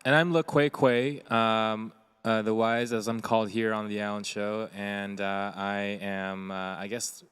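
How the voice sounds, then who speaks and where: Smooth-voiced man hosting a live stream. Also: on the low side, a little slow. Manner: relaxed, many fillers, reads viewer chat aloud. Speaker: co-host and co-producer. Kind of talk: casual live-stream chat.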